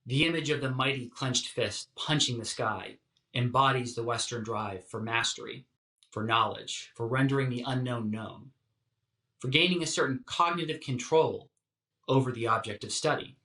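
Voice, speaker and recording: Bright-voiced male lecturer, roomy sound. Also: medium pitch. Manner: measured, articulate, emphatic. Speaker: professor, lecturer. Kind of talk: scripted philosophy lecture narration.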